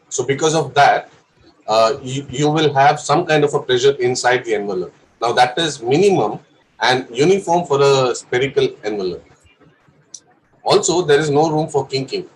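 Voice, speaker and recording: A man's voice: medium pitch, rough recording. Young Indian man lecturing with a cough. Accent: Indian English. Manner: careful explanation with frequent fillers. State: has a cough. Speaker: presenter of a course session. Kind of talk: technical lecture.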